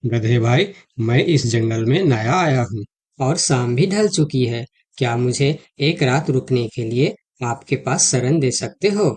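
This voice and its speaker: A man's voice: mid-range voice, lively intonation, a little slow, darkish tone. Sly cartoon jackal, played by a man. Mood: wheedling. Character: sly, ingratiating con-artist jackal. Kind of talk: children's cartoon story dialogue.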